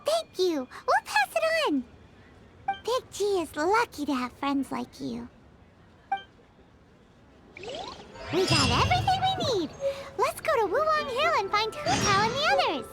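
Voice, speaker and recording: A woman's voice: very high-pitched, huge pitch swings, measured pace, pauses often, exaggerated voice. An actress voicing a tiny, chirpy female companion creature in a video game, eager and bouncy. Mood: cheerful. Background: music.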